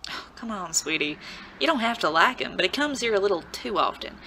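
Woman playing a bright, teasing saloon sweetheart. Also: medium pitch, animated, brisk, a little hiss or hum, poor audio. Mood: coaxing, teasing. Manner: coaxing, teasing, sugary. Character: flirtatious, teasing saloon woman, sweet but quietly dangerous. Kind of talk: audio drama acting.

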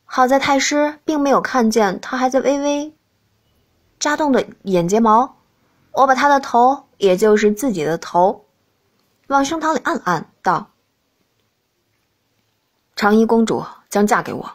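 A woman's voice: sits high, animated, normal speed. A woman reading a novel aloud in the first person as scripted narration. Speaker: audiobook narrator.

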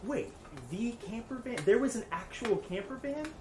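An actress playing an earnest, apologetic, hesitant documentary director interviewing someone in an audio drama. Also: female voice, fairly high pitch, animated, normal speed, natural voice. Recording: noisy interview room tape.

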